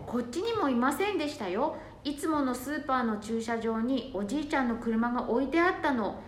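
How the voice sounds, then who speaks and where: A woman's voice: high voice, animated, a little fast. A woman playing a polite, anxious daughter-in-law in an amateur radio drama. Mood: worried. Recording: echoey room, faint noise.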